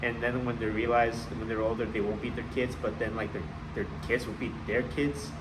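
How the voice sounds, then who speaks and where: A man's voice: medium pitch, level tone, a little fast. Noisy recording of a rambling man chatting. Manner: rambling, repeats and trails off; jokey, profane. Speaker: podcast co-host. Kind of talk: casual comedic podcast chat. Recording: noisy room, clear background hum.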